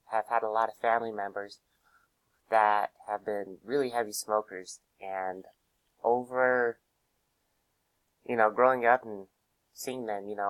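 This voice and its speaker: A hoarse young man with a cold, giving a casual class presentation, full of fillers and pauses. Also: lowish voice, fairly bright tone, no room sound, low-quality recording. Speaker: student presenter.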